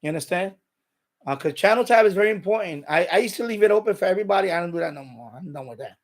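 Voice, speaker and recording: A man's voice: high-pitched, animated, rapid-fire. A middle-aged man chatting casually and unscripted as a live stream host, rambling and repeating himself as he explains.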